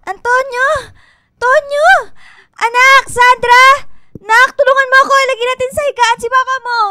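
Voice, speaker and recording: A woman's voice: extremely high pitch, level tone, moderate pace, background noise. A woman playing a worried, caring wife and mother in a radio drama, with an emphatic, pleading manner. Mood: panicked.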